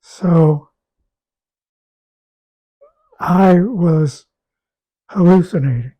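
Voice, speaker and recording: A man with a raspy, hoarse voice talking casually about his health, halting and repeating words as he goes. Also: high-pitched, muffled recording. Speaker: guest sharing a health update. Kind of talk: casual conversation.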